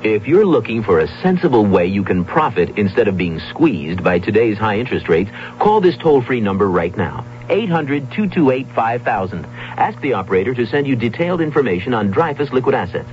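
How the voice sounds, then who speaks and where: A man's voice: fairly low pitch, very animated, a little fast, few pauses. A man reading a scripted 1970s radio commercial as a polished, persuasive announcer. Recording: dull 1970s radio broadcast. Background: faint music.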